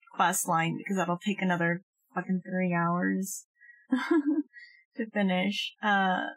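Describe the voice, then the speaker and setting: A woman's voice: mid-range voice, dry recording, rough recording. Woman streamer, dry close sound. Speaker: livestreamer. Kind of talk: casual game stream chat.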